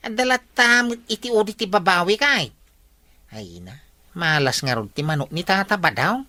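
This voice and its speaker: A woman acting a lively village gossip in a comedy radio drama, animated and exclaiming. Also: mid-range voice, unhurried, no echo. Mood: commiserating.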